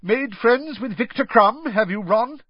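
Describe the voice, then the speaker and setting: A man's voice: very high-pitched, lively intonation, a little slow, husky, no room sound, lo-fi sound, pitched above the performer's own voice, full character voice. A man voicing a pompous, fussy young man with an officious manner.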